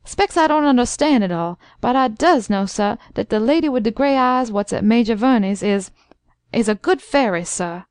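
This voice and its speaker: A woman's voice: on the high side, moderate pace, smooth, no echo, clean studio sound, natural voice. A woman voicing an elderly male servant who croons and fusses with devotion. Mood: humble. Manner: crooning, excited.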